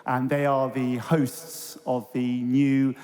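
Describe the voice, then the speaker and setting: A man's voice: mid-range voice, flat delivery, slow pace, darkish tone. A man reverend interviewing on a conference stage, talking conversationally with hesitant fillers. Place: large concert hall on stage. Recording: hall reverb, faint crowd.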